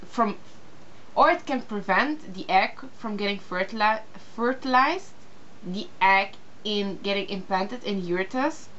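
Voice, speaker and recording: A young woman from Belgium speaks English as a second language, pausing often with fillers and restarting her sentences. Her voice sits at a middle pitch and comes through slightly dull, lo-fi webcam audio with a faint hiss behind it. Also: a bit bright. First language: not English. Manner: hesitant, many fillers and restarts. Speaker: vlogger. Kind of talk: casual video response.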